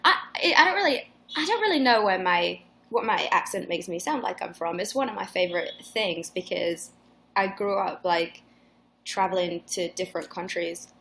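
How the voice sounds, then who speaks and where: Chatty woman with a gravelly voice. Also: on the low side, lively intonation, normal speed, pushed and bright. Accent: mixed Northern English. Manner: rambling, chatty, swears, many restarts and fillers. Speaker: singer hosting a live fan Q&A. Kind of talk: casual livestream chat.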